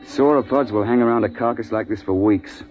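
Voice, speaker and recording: A brisk, seasoned hunting guide acted by a man from a 1950s radio serial. He speaks with clipped old-boy authority and an exasperated edge. The sound is dull and dated, with clear music behind it. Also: fairly low pitch, a little mellow, close to an everyday voice. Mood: cautious, commanding. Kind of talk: 1950s radio drama acting and narration.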